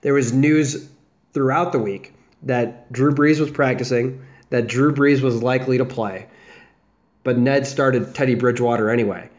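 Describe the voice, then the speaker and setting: A man's voice: mid-range voice, steady tone, moderate pace, a little mellow. Young guy rambling on his podcast, mid voice, kinda dull muffled sound. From United States, south of the Chicago area. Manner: rambling, chatty, with restarts and fillers. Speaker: fantasy football podcast host. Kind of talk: casual solo podcast chat.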